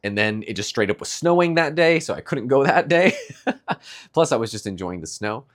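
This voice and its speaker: A man's voice: mid-range voice, animated, normal speed. A young man with a stuffy nose giving a casual, rambling morning check-in, laughing often between thoughts. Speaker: streamer.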